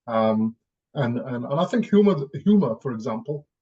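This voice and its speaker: A man's voice: medium pitch, sing-song, normal speed, warm tone, breathy edge, muffled recording. A man from Newcastle in England sharing personal reflections on a panel, hesitantly repeating phrases as he thinks. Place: online video call.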